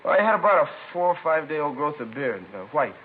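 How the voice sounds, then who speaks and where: An actor playing a chatty, put-out store manager, a robbery witness who talks in colloquial fragments, in naturalistic 1950s radio drama style. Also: male voice, fairly high pitch, very animated, quick pace. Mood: obliging. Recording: lo-fi noisy 1950s radio recording.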